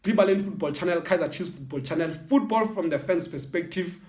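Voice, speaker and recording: A South African man from the Eastern Cape, a football channel host, speaking in a casual reflective monologue with halting restarts and repeated words. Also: sits high, a little mellow. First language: Xhosa and Sotho mix. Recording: echoey room.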